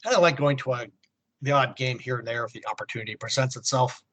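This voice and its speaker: A middle-aged American man co-hosting a podcast, chatting casually in a halting, wry way. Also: mid-range voice, fairly bright tone. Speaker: podcast co-host. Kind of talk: casual chat about old comics.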